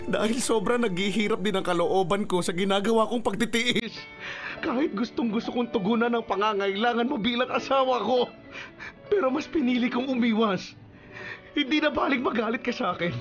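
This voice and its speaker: A male actor playing an anguished, remorseful, gravely ill husband in a Filipino radio melodrama, with a Filipino accent and an emotional, pleading delivery. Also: very high-pitched, normal speed. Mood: pleading, tearful. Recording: music under the dialogue.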